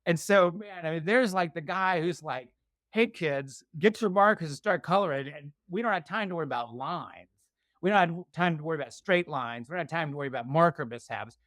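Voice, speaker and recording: A man's voice: fairly high pitch, expressive, brisk, a bit bright, no room sound, studio quality. A middle-aged man from Virginia's Shenandoah Valley, chatting as an interview guest in a rambling, self-deprecating, joking way. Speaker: guest author. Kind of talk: casual interview chat.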